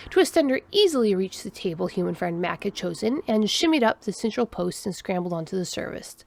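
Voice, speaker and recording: A woman's voice: mid-range voice, sing-song, brisk, gravelly, a little mellow. A woman narrating a scripted story as a storyteller.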